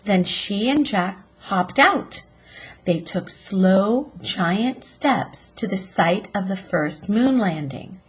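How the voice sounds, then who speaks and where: A woman with a husky voice reading a children's chapter book aloud in a deliberate, expressive teacher's style. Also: medium pitch, rough recording.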